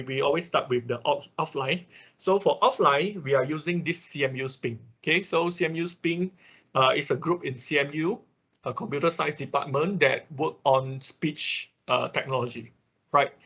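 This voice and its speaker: A man who is a non-native English speaker with an accent, teaching an informal online technical class in a husky voice, explaining step by step with frequent check words. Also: a little high, moderate pace, a bit bright. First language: not English. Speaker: instructor. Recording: dull, lo-fi online class audio.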